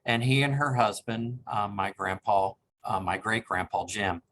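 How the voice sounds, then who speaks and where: A man in his sixties with a lowish voice recalls his family's past in an oral history interview. He speaks politely and reflectively, pausing often with ums. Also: steady tone, a bit bright, poor audio. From Cincinnati, Ohio. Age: 60s. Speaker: interviewee, reference librarian.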